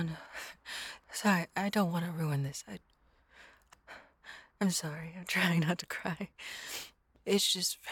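Brief: woman acting a clingy yandere girl, unhurried, stammers, breathy whispers, dry close sound. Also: fairly low pitch, no room sound. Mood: tearful. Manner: stammering, often soft and whispery. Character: clingy lovestruck young woman coworker, obsessive. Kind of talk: audio roleplay acting.

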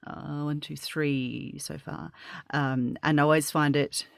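A woman's voice: low-pitched, flat delivery, takes it slowly, gravelly, no echo, studio quality. An Australian woman hosting a casual interview, giggly and rambling with lots of fillers. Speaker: podcast host.

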